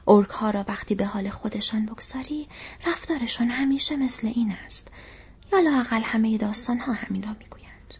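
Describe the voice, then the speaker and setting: A woman reads as a weary, gentle hobbit in a fantasy audiobook, cautious and thoughtful. The voice is whispery with very little clear tone, on a dull recording. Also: mid-range voice. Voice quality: whispery, breathy. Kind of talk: audiobook character voice.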